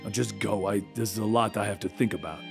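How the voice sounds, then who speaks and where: A man voicing a gruff older male newspaper editor, speaking low and halting in a comic recap. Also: soft background music. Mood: shaken.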